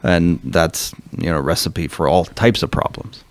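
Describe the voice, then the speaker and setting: A male pastor speaking earnestly as a podcast guest, thinking aloud with many pauses and fillers. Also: sits low, steady tone, no room sound. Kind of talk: discussion guest.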